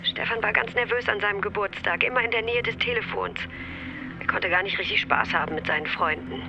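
A woman plays a weary mother in a radio drama, practical and full of quiet reproach. Music runs clearly behind her mid-pitched voice. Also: brisk, lo-fi sound, natural voice. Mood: reproachful. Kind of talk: German radio drama acting.

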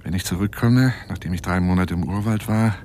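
An actor playing a lonely, boastful bachelor big-game hunter on the phone in a radio drama monologue, courtly, theatrical and insistent. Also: male voice, sits low, fairly quick, dark tone, slight background noise, lightly acted. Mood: wistful, defensive.

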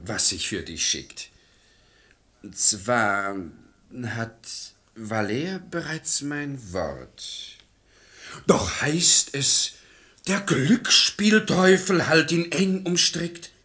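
A man's voice: medium pitch, lively intonation, very slow, pushed and bright, heavily acted. An actor playing a stubborn, irascible, domineering father in a theatrical radio play in verse, declaiming with pompous emphasis. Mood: earnest, persuading.

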